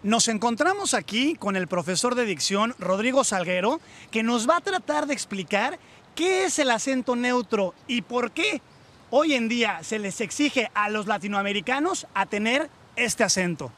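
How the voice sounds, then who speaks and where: A man's voice: very high voice, animated, normal speed, a bit bright. A man reporting a lighthearted TV piece, teasing and joking with the people he interviews. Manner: playful, teasing questions. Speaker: street reporter.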